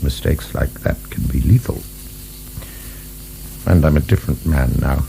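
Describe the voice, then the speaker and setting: A man's voice: rumbling low, a little slow, gravelly, mellow, a little hiss or hum, heavily acted, poor audio. A man acting in a radio drama as a proud, defensive middle-aged prisoner of the French Revolution, emphatic and formal. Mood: defensive, stern.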